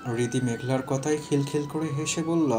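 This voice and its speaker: A man reads a scripted love story as its narrator. His pitch sits in the middle range for a male voice, and music plays clearly behind him. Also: moderate pace, warm tone.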